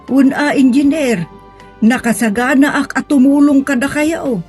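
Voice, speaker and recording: A woman playing a pious, motherly older woman who gives measured moral counsel in an Ilocano melodrama. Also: sits high, loud music behind the voice. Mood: earnest counsel. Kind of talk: Ilocano radio-style melodrama.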